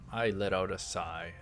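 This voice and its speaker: A man reading visual novel text aloud in a measured way, dropping in casual asides as a let's player. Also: low voice, a bit bright. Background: game music.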